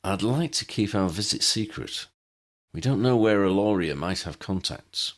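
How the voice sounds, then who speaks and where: A man's voice: lowish voice, expressive, normal speed, lightly acted. A man voicing an earnest wizard team leader in a storybook, serious, careful and explanatory.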